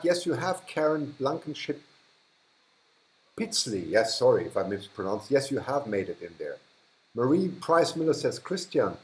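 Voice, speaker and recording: A man's voice: medium pitch, roomy sound, rough recording. A man with a German accent hosting a casual livestream, chatting with viewers, laughing often and trailing off mid-sentence. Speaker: livestream host. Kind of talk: casual live chat reading viewer comments.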